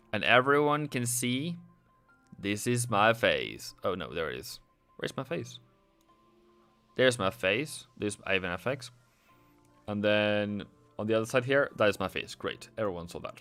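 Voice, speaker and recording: A man's voice: lowish voice, big pitch swings, unhurried, long pauses, pushed and bright, soft background music. A man hosting a casual trading livestream, chatting and joking sarcastically with viewers.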